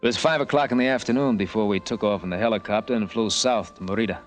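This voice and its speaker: An actor playing a brash, wry American helicopter pilot in a hard-boiled old-time radio drama. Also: male voice, on the low side, fast, natural voice. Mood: wry narrating. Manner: wry, laconic, wisecracking. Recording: vintage radio recording, music behind.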